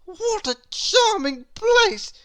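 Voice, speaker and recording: Lady doing a stubborn little cartoonish storybook miss, high and swoopy, snooty n contrary. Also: very animated, slow, a bit bright, no room sound, heavily acted. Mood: feigned delight. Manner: insistent, proudly contrary. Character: stubborn, haughty little female storybook character, defiant and snappy.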